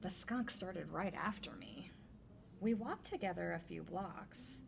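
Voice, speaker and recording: A woman reading a children's picture book aloud as a teacher librarian, with deliberate, expressive storytelling. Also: fairly low pitch, vocal fry, noisy background.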